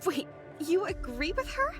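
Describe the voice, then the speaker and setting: A woman's voice: very high voice, very animated, a little slow, over music, heavily acted. An actress playing a friendly, level-headed female soldier in an anime game, with a casual, easygoing manner. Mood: surprised, willing. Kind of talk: scripted anime game voice acting.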